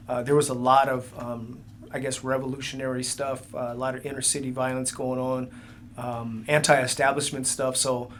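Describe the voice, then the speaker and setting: Man, 60s, police chief guest, middle pitch, halting speech, faint room noise. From United States. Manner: halting, many fillers and restarts. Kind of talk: interview answers.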